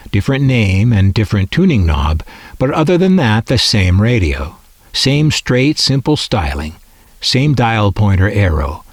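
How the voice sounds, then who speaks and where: Male narrator, low register, animated melody, dry close sound, reflective collector delivery. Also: no room sound. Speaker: radio collector presenting their collection. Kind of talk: scripted narration.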